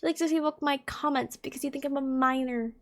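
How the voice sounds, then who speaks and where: A woman's voice: sits high, steady tone, fast. A woman in her twenties, chatting casually to camera in a rambling, self-deprecating way with lots of fillers. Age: 20s. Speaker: streamer and content creator.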